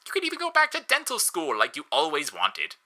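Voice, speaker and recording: A man voicing a pompous, excitable skeleton brother from an audio story, earnest and grandiose with formal phrasing. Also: on the high side, brisk, voice pushed up, exaggerated voice. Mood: overjoyed, boastful.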